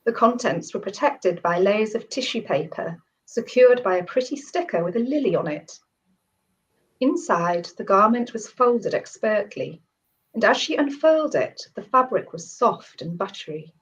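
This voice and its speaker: A woman with a cold and a croaky voice, chatting as a guest author and then reading her own short story aloud. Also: medium pitch, lively intonation, normal speed. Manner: warm, chatty, with laughs and restarts. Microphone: video call. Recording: dull lo-fi video call audio.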